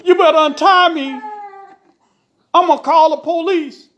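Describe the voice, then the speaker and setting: A woman's voice: very high-pitched, fairly even intonation, measured pace, highs cut off, a little hiss or hum, pitched above the performer's own voice. A woman storyteller voicing a bullying husband who hollers and whines in protest. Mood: angry, threatening. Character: bullying abusive husband, tied up, pleading in pain. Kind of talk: acted oral storytelling.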